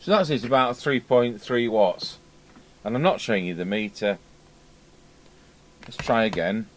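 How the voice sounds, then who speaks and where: A man's voice: on the low side, measured pace. A man giving a casual hands-on repair demonstration as a technician, thinking aloud as he works. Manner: muttering to himself, thinking aloud while working. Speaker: radio repair technician presenting. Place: indoors at a repair workbench. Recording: clear background noise, workshop ambience.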